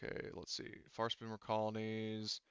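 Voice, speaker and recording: A man acting as game master, casually explaining game lore in a halting way with many restarts. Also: lowish voice, completely flat delivery, a bit bright, clear, resonant tone, no room sound. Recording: slightly dull, dry close mic.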